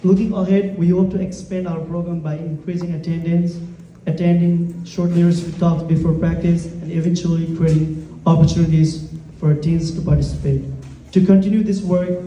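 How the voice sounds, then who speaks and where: Dark-voiced man speaking from a distance in a hall. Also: on the high side, flat delivery, normal speed, a little hiss or hum. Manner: formal, reads carefully with small slips. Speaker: event speaker and emcee for a youth soccer program. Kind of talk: prepared speech, then interviewing a child on stage.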